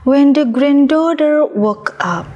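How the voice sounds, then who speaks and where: A woman narrating a scripted children's story as a puppet show storyteller, in a deliberate manner. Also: sits high, a little mellow, lo-fi sound. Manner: slow, deliberate storytelling with repeated phrases drawn out.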